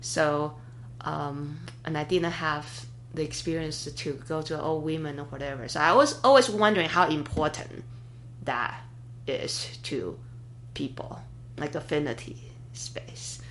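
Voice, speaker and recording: A woman in her thirties with a notably low voice hosts a podcast conversation. She speaks in a thoughtful, halting way, pausing on fillers and restarting sentences as she works out her questions. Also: fairly bright tone, a little hiss or hum. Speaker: podcast host. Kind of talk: conversational interview.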